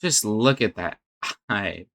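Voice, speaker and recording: A man's voice: fairly low pitch, lively intonation, slow, creaky, a bit bright. A man hosting a reaction video, talking casually in a hesitant, stammering, uneasy way.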